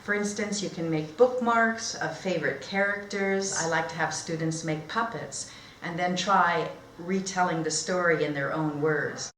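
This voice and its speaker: A woman teacher demonstrating read-aloud storytelling and instruction in simple, expressive phrasing. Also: lowish voice, a little slow, slight background noise. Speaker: teacher trainer. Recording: echoey room.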